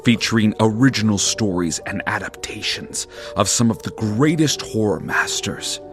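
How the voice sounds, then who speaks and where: A man playing a gleeful cadaver horror-show host, hammy and macabre in a drawn-out theatrical promo read. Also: on the low side, natural voice. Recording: music behind the voice.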